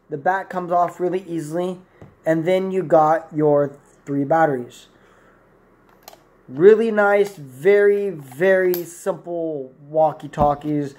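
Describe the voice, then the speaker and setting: Higher-pitched young man, casual reviewer. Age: 20s. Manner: rambling, self-correcting. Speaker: amateur product reviewer. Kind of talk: casual unscripted product review to camera. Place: small plain room indoors.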